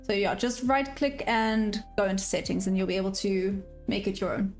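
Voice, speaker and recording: A woman's voice: mid-range voice, steady tone, moderate pace, faint music behind. A woman live-streaming her day trading, chatting casually and answering viewer questions as she goes. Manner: upbeat sign-off, friendly to viewers.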